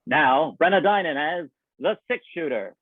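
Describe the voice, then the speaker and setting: A man's voice: fairly high pitch, expressive, normal speed, narrow, tinny audio. A man hosting and announcing a radio show in a wry, theatrical way, over thin band-limited sound. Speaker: host and announcer. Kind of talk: scripted radio-show introduction with ad-libs.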